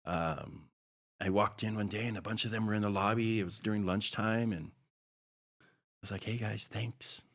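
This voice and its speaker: A middle-aged man from Hawaii, a podcast guest, telling a personal story in a halting, reflective way with many restarts. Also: on the low side, steady tone, a bit bright.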